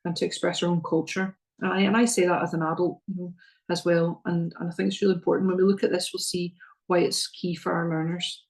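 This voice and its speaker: A middle-aged woman with a Northern Irish accent presents to parents in a level, even tone, with a gravelly edge under her voice. She talks earnestly, stopping for ums and doubling words as she moves through her slides. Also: fairly low pitch. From Northern Ireland.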